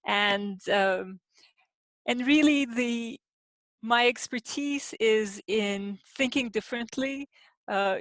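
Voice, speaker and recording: A woman, an industrial designer answering as a podcast guest, explaining her work in a measured, thoughtful way with pauses. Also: medium pitch, a bit bright, dry recording. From Turkey. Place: home in the city, sheltering in place.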